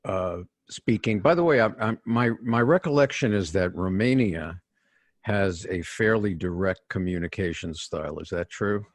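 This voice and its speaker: An older man from New York City, a guest executive coach, telling stories in a measured interview manner and often restarting his phrases. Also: low voice, no echo. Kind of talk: conversational interview storytelling.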